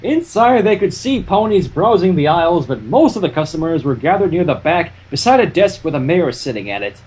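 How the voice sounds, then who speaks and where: Casting: story reader for fan fiction, husky tone, very lively intonation, jokey commentary. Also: mid-range voice, fairly quick, low-quality recording. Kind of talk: animated story narration with joking asides.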